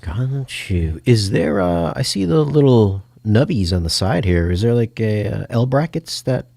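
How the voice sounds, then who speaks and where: Guy asking casual questions, low n gravelly, bit halting, pretty dry recording. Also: sing-song, dark tone, no echo. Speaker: interviewer. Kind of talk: casual interview chat.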